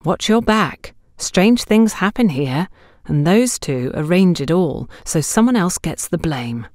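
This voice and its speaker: A woman's voice: lowish voice, lively intonation, unhurried, darkish tone, dry recording, studio quality. Woman playing a wary, confiding young shop worker. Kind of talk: audiobook character dialogue.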